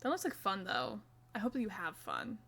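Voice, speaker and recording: A woman's voice: mid-range voice, wildly swooping pitch, normal speed, vocal fry, sharp tone, slight background noise. A young woman streaming live, chatting casually and self-mockingly while she crochets, swearing now and then.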